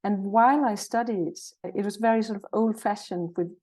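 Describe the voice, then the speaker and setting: A woman in her sixties with a Danish accent, speaking as an interview guest. Her voice is mellow and soft-edged. She talks in a friendly, cheerful way, thinking aloud as she goes. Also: medium pitch, moderate pace. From Jutland, Denmark. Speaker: guest, naturopath and herbalist. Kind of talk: conversational interview.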